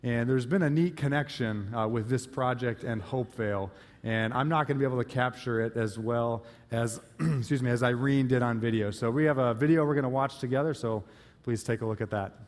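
A man, an outreach pastor, giving casual church announcements with frequent pauses and fillers. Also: mid-range voice. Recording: long reverb of a church hall.

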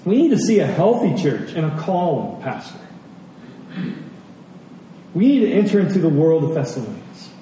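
A man delivers a sermon in a high-pitched, mellow voice. He repeats key phrases deliberately and punctuates his points with questions. The sound is very echoey, as if heard across a big hall. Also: very animated. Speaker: pastor preaching. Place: large reverberant room, church service. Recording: very echoey hall, distant mic, faint noise.

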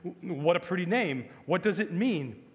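Man voicing a soft, cooing, cunning witch queen. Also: high-pitched, animated, in a large hall, voice pushed up. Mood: feigned sweetness. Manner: slow, silky, archly courteous. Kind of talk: children's fantasy audiobook.

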